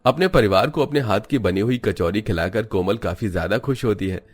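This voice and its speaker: A man's voice: on the low side, brisk. A man reading scripted storybook narration for a cartoon.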